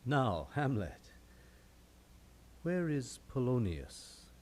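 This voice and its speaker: A man's voice: mid-range voice, sing-song, very slow, darkish tone, exaggerated voice. A man acting a commanding, formal stepfather king in a theatrical Shakespearean puppet show. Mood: stern demanding. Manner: formal, imperious.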